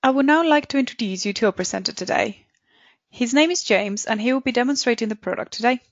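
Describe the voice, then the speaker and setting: A woman's voice: fairly high pitch, quick pace, dry recording. A woman hosting a webinar, reading a formal, scripted introduction. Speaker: webinar host. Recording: dry dull lo-fi audio.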